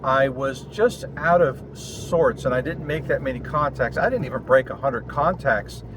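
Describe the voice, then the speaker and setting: A man talking casually and explanatorily in a vlog recorded inside a car, in short phrases with pauses. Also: medium pitch. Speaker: vlogger and amateur radio operator. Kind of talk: casual vlog talk to camera. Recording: clear road and vehicle noise. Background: car and outdoor ambience noise.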